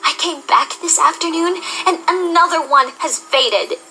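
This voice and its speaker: A woman's voice: extremely high pitch, normal speed, bright, forceful tone, rough recording. A woman playing a weary pony princess who hides her grief, brittle and defensive, in an audio drama. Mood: distraught. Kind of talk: fan audio drama acting. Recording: music behind the voice.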